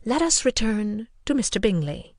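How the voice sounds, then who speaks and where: A woman voicing a dry, ironic older gentleman in a storybook reading, speaking in measured, teasing tones. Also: fairly high pitch, very animated, no room sound.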